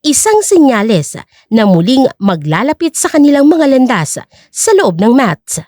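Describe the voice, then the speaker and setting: High-pitched, animated female storyteller. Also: a little slow, no room sound, studio quality. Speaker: narrator. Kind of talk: dramatic scripted narration.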